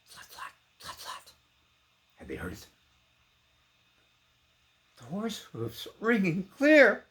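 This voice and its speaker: A man giving a theatrical, declamatory poetry recitation with sharp contrasts between hushed and loud delivery. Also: high-pitched, huge pitch swings, slow. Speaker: poetry reader.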